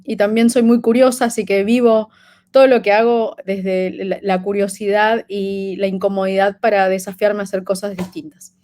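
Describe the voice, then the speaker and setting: Woman, 30s, light Peruvian accent; halting conference speaker, fillers and restarts. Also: medium pitch, a little mellow. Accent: light Peruvian, lived in Argentina. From Peru. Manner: hesitant, many fillers and restarts. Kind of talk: conference talk.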